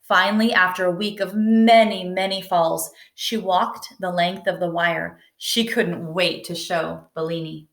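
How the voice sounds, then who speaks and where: A woman's voice: lowish voice, steady tone, a little slow, few pauses, a bit bright. A woman reading a children's story aloud, narrating expressively. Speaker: storybook reader. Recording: roomy indoor echo.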